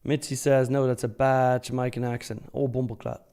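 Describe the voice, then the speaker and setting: A man's voice: mid-range voice, level tone, normal speed, mellow, clean tone. A man hosting a live trading stream, chatting casually and informally as he comments and answers viewers, joking and swearing freely. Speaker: live stream host, futures trader.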